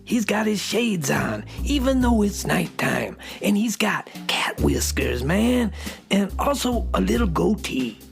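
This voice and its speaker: Woman as a very creaky, hip beatnik storyteller. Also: mid-range voice, sing-song, measured pace, faint music behind, heavily acted. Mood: cool, hushed. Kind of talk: scripted children's story narration in beatnik idiom.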